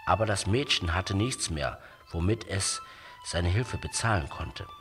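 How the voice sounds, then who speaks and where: A man with a low voice tells a children's fairy tale. His scripted narration is dramatic and very animated in pitch, with clear music behind it. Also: unhurried. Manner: dramatic, expressive. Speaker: storyteller.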